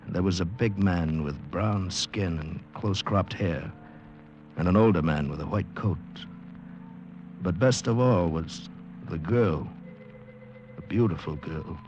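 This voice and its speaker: An actor playing a bewildered amnesiac American man in a halting, dramatic 1940s radio style. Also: sits low, highs cut off. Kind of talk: 1940s radio acting, first-person narration and dialogue. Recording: noisy old radio drama recording.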